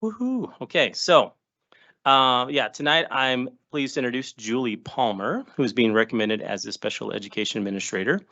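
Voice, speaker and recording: A man introducing new staff at a school board meeting, chatty and relaxed, with joking asides. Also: mid-range voice, normal speed, muffled recording. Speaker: school district administrator introducing new hires. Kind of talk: informal remarks at a public board meeting. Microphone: table microphone.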